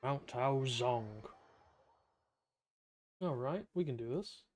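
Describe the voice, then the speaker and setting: Guy streaming a game, slow offhand sarcastic talk, music in the background. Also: mid-range voice, darkish tone. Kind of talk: casual live gameplay commentary.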